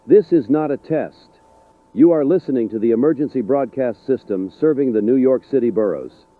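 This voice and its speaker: A composed emergency radio announcer played by a man, mid-pitched, with deliberate and official delivery in a 1980s style. The voice is dry and boxed into a thin, phone-like band. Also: no room sound, natural voice. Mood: formal, composed. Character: formal, composed emergency broadcast station announcer. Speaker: broadcast announcer. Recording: tinny narrow-band 1980s radio broadcast.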